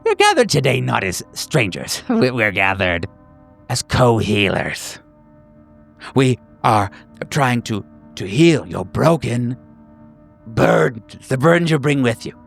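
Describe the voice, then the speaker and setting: Man acting an excitable, stammering therapy doctor, swooping intonation. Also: medium pitch, creaky, soft background music, heavily acted. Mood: earnest, solemn. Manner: halting, stammering, emphatic repetitions, nervous chuckles. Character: excitable, fawning male therapy doctor, sinisterly earnest. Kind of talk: theatrical group-session address.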